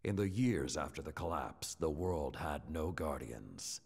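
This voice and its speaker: An actor playing a solemn, weary last warrior lord in a video game, speaking slowly and gravely in dramatic narration. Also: male voice, animated, full character voice. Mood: proud, grave. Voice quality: gravelly, very deep. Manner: solemn, slow, weighty delivery. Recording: music under the voice. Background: cinematic music.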